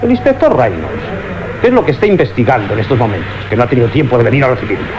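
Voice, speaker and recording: An actor playing a stern, impatient police commissioner with a brusque, commanding manner in a radio drama. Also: male voice, medium pitch, very animated, racing, close to an everyday voice. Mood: irate. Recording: dull radio recording, clear background noise.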